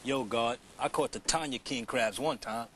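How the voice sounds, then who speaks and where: An American actor playing a crude, griping young rapper sidekick in a comedy sketch, talking in street slang. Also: male voice, medium pitch, normal speed, fairly bright tone, a little hiss or hum, close to an everyday voice. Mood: joking. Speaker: co-host of a parody fishing show. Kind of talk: comedy sketch, hip-hop banter.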